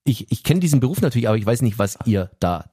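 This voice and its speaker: A man hosting a casual interview, playful and halting with many fillers. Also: medium pitch, sing-song, warm tone, husky, dry recording, clean studio sound. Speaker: podcast host.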